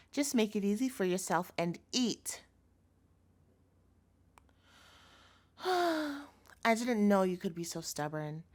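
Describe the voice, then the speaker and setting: A woman's voice: medium pitch, fairly bright tone. A woman playing an obsessive chef girlfriend in a scripted audio roleplay, sugary and coaxing with a threatening edge, speaking intimately to the listener. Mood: cold, menacing. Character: obsessive yandere chef girlfriend, sweet but menacing.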